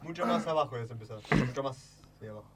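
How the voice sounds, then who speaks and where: A man's voice: mid-range voice, animated, rapid-fire, a crowd in the background. An Argentine man in casual rehearsal chat, giving corrections and instructions to fellow comedy performers. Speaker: comedy troupe member directing takes.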